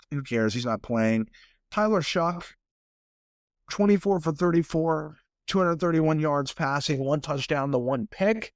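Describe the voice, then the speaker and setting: A man's voice: a little high, no echo, clean studio sound. Stuffy-nosed man hosting a sports podcast. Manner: casual, rambling, repeats words when restarting. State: stuffy from sinuses. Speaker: podcast host. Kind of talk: solo sports podcast talk.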